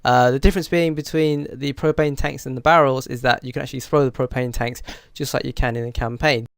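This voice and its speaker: A man with a cold and a croaky, stuffy voice, chatting through a gaming news rundown with plenty of fillers. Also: mid-range voice, fairly even intonation, fairly quick, no echo, studio quality. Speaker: gaming channel host. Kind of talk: casual news rundown to camera.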